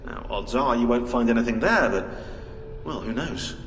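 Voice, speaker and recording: A man's voice: medium pitch, animated, moderate pace, fairly bright tone, natural voice. A man playing a methodical, intrigued researcher explaining findings in a video game, in a very echoey hall. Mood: intrigued, dry. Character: modern male researcher, methodical, intrigued team colleague. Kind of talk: expository video game dialogue.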